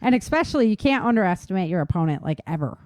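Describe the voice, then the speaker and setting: A woman with a mid-pitched voice hosts a relaxed, informal chat. She talks in slangy phrases and repeats words for emphasis. The sound is dry, with little room on it. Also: rapid-fire, no echo. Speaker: talk show host, author. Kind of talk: casual chat on survival tips.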